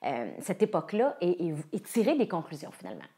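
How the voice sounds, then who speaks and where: A woman's voice: lowish voice, wildly swooping pitch, quick pace, dark tone. A woman in her thirties with a Quebec French accent, a coach sharing personal stories and presenting a course to viewers. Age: 30s.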